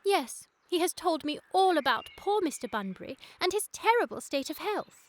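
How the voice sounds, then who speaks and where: High-voiced, insistent young Victorian lady. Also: sing-song, normal speed. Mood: coaxing. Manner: pleading, emphatic, formally worded. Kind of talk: radio play period comedy acting.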